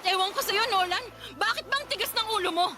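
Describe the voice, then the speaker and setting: An actress playing a grieving, stubborn wife in a Filipino radio drama, emotional and pleading. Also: female voice, very high voice, fast talker, a crowd in the background. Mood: pleading, exasperated. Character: grieving wife who cannot bear children, stubborn, self-sacrificing.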